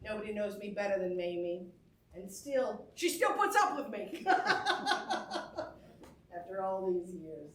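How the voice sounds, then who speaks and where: A woman's voice: medium pitch, expressive, unhurried, long pauses, natural voice. A woman playing an exuberant, effusive female movie star giving a folksy thank-you speech in a theatrical staged reading. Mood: grateful, tender. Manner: effusive, folksy, chuckling between phrases. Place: indoor stage before a live audience. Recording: roomy stage sound with faint noise.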